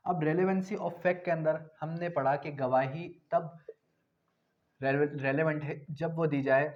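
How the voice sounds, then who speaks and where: A man's voice: on the high side, steady tone, slow. A man with a Pakistani accent giving an explanatory law lecture in a didactic way, repeating key phrases for emphasis. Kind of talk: explanatory lecture, reading out legal articles and explaining them. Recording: dull, lo-fi audio.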